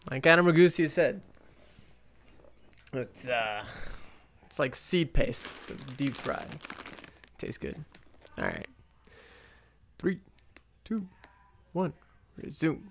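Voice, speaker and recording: A man's voice: medium pitch, expressive, takes it slowly, gravelly, pauses often, fairly bright tone, slight background noise. A young man rambling casually to himself while doing live commentary on an old movie, full of fillers and restarts. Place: at home.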